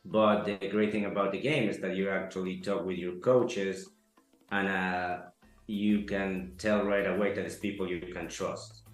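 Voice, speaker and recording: A man from Ecuador, giving an earnest, halting testimonial interview full of fillers. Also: low-pitched, fairly even intonation. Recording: echoey room, soft music behind.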